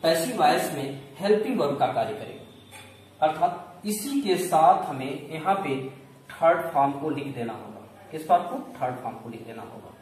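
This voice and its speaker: A man's voice: on the high side, animated, moderate pace, a little mellow. A man teaching a grammar lesson step by step, asking questions, answering them himself and repeating key points. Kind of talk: classroom lesson, explaining step by step. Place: echoey room. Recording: very echoey room, distant mic, faint noise.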